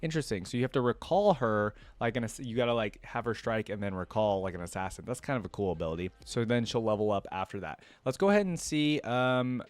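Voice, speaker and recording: A man's voice: on the low side, lively intonation, fast talker, hardly any pauses, no echo. Casual man reacting, close and dry. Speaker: reaction video host.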